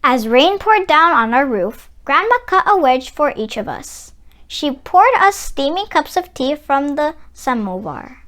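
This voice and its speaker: A woman's voice: high-pitched, fairly bright tone. A woman narrating a children's storybook expressively, with dramatic emphasis. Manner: dramatic, drawn-out emphasis on sound words. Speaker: children's read-aloud narrator.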